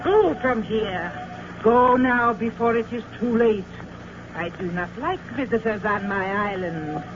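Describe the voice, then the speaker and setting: A woman's voice: on the high side, measured pace, close to an everyday voice. A woman playing an imperious, hostile island princess in an old radio drama, stilted and solemn. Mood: stern, commanding. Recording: muffled, noisy old radio recording.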